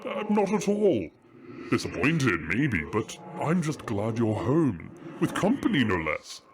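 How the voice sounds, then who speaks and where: A man's voice: medium pitch, huge pitch swings, a little slow, noisy background. A man playing an effusive, theatrical ancient dragon king, a doting and teasing father, in an audio drama. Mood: fatherly, stately. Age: older.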